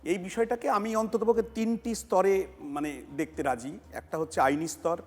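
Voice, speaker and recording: A man's voice: high voice, moderate pace. A man giving methodical panel analysis as an academic, often rephrasing his points. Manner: methodical, explanatory, repeats and rephrases. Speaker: professor and political analyst. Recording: reverberant sound with music bed.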